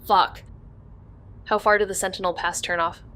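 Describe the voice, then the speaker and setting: A woman's voice: fairly low pitch, steady tone, normal speed, lightly acted. An actress playing an anxious woman passenger in a car chase, alarmed and urgent, in an audio drama. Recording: loud car and road noise.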